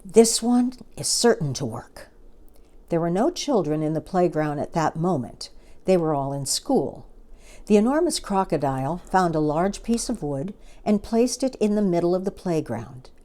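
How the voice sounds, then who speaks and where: A woman's voice: on the low side, expressive, moderate pace, warm tone. A woman reading a children's story aloud as a storyteller, expressively and with dramatic emphasis.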